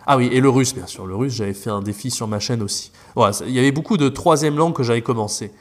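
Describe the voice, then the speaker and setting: A man's voice: medium pitch, fast. French man rambling with many fillers. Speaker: French teacher in China, guest. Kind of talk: casual podcast interview.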